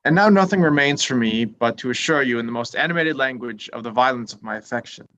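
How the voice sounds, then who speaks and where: A man performs a pompous young clergyman in a period drama reading. His medium-pitched voice delivers formal, long-winded sentences with obsequious self-importance. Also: quick pace, fairly bright tone, highs cut off, natural voice. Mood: pompous, earnest.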